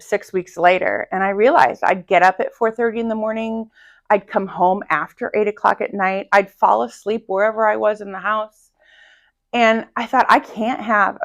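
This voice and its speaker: Loud, lively woman teacher chatting. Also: mid-range voice. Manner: loud, energetic storyteller. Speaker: Spanish teacher, interview guest. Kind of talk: casual interview chat. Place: high school classroom.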